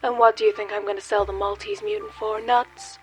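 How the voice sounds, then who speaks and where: A woman's voice: mid-range voice, flat delivery, a little fast, faint music behind, lightly acted. A woman playing a mocking, teasing femme fatale in a comic noir radio play. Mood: sly, sardonic. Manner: teasing, sardonic, theatrical.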